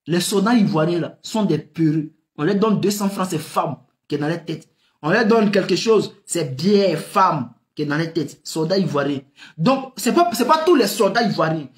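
Animated West African French man commentating. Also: sits high. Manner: animated, rhetorical questions, frequent laughs, gestures with hands. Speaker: political commentator. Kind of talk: impassioned political commentary to camera. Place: small room indoors. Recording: echoey room.

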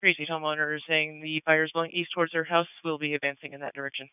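Over narrowband radio, a man dispatches emergency units. His voice is fairly high and extremely quick, with clipped, routine readbacks. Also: flat delivery, clear, resonant tone. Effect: two-way radio. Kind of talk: radio readbacks of unit reports.

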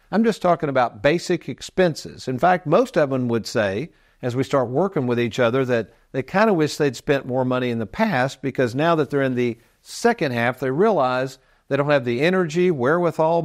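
A man in his sixties presenting financial advice as a show host, folksy and emphatic as he explains to viewers. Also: medium pitch. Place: TV studio set, indoors.

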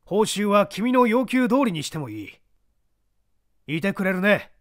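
A male narrator voicing an excitable middle-aged private detective who talks in an old-fashioned, lordly way. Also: high voice, a little slow, voice pushed up. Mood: apologetic. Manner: old-fashioned lordly speech, flustered and effusive.

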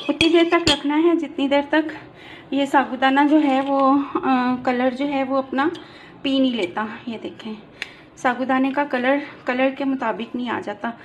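A woman's voice: high-pitched, noisy background. A woman hosting a cooking channel, patiently explaining each step of a recipe as she works in her home kitchen on a handheld phone.

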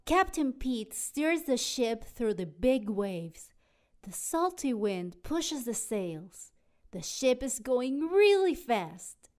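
A woman narrating a children's storybook aloud in an expressive, dramatic way. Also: a little high, takes it slowly. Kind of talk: animated children's read-aloud.

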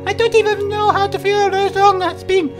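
Young female pig for a game cutscene, male performer, sad and very high in pitch. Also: flat delivery, moderate pace, over music. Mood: sorrowful. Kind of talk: dramatic dubbing of game cutscene dialogue.

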